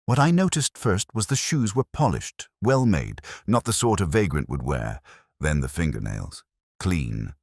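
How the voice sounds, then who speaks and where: Casting: male actor as brooding detective narrator, extremely low husky voice, literary and reflective, close dry sound. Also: very animated, measured pace, darkish tone, no echo, exaggerated voice. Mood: brooding, melancholy. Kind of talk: scripted first-person detective narration, audio drama.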